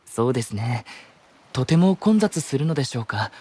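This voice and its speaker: An actor plays a polite, gentle, composed young male knight in an anime audio drama, using formal courteous speech. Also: mid-range voice, very animated, moderate pace, husky, dry recording. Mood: courteous, interested.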